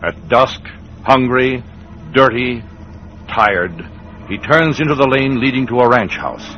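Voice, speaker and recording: A man's voice: mid-range voice, slow, slight background noise. A man delivering dramatic scripted narration for a 1950s radio western. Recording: muffled old radio recording. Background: faint music.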